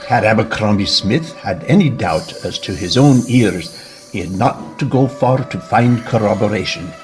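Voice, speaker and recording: A man narrating a literary story from a script in a measured, formal manner. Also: lowish voice, lively intonation, music in the background.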